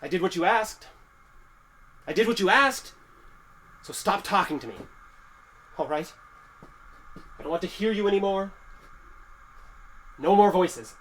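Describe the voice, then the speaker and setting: A man's voice: high-pitched, lively intonation, a little slow, pauses often, fairly bright tone, a little hiss or hum, low-quality recording. An actor playing a resentful, unhinged young man in a horror audio drama, with an accusatory, menacing manner. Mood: anguished, agitated.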